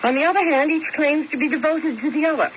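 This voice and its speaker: A woman's voice: high voice, fast, natural voice. An actress playing a coolly scheming, refined woman in a 1970s radio mystery, with arch, precise, theatrical phrasing. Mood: arch, composed. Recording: muffled, hissy old radio recording.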